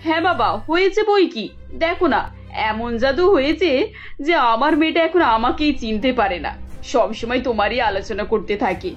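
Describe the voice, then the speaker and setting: A woman's voice: high voice, expressive, normal speed, faint music behind. A woman voicing a chatty, good-humoured middle-aged mother-in-law in a cartoon, playful and indulgent, with dull lo-fi sound. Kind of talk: cartoon story dialogue.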